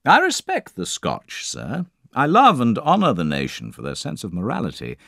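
A man doing a high, gushing English traveller. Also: wildly swooping pitch, normal speed, creaky, fairly bright tone, dry recording, clean studio sound, exaggerated voice. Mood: effusive.

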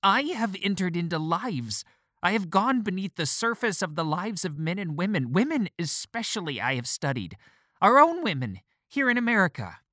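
A man voicing a weary, agitated male doctor who turns shrill and overwrought. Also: big pitch swings, normal speed, bright, forceful tone, no echo, studio quality, exaggerated voice.

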